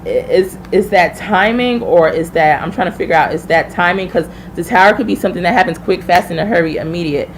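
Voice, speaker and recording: A woman's voice: lowish voice, brisk, hardly any pauses, slight background noise. A woman hosting a live tarot reading, chatting informally and familiarly with viewers as she thinks aloud. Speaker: tarot reader hosting a livestream.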